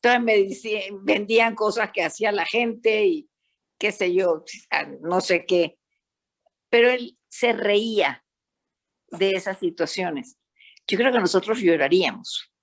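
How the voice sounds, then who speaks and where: An older woman from Panama speaks with a Panamanian accent on a talk panel. She tells her stories in an animated, emphatic way, her voice sitting in a middle pitch. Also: measured pace. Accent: Panamanian Spanish. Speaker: psychologist guest. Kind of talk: panel discussion.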